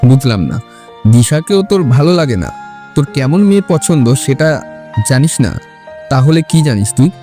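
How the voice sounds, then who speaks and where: A male actor plays a young man's blunt friend in an audio drama. His voice is mellow and medium in pitch, prodding as he talks over faint music. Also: sing-song, normal speed, pauses often, natural voice. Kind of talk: Bengali audio story acting.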